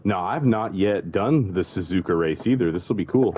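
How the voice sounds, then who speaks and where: A man's voice: sits low, expressive, normal speed, a little mellow, dull audio, a little hiss or hum. A man joining as a guest driver, chatting casually and joking while he races. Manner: joking, laid-back banter. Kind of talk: casual chat while driving.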